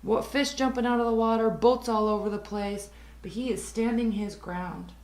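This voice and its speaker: A woman reads tarot cards in a raspy, worn-out voice that has been hoarse for days. She talks earnestly, repeats key phrases and slows down on the points she wants to stress. The sound has a noticeable room echo. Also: fairly high pitch, steady tone, a little mellow. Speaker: tarot reader. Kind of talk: tarot reading to camera.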